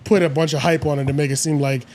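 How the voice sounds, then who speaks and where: Male podcast co-host, American, slightly high-pitched, agreeable and repetitive slangy talk, some background noise. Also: fairly even intonation, fast talker, a little mellow. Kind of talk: casual podcast chat.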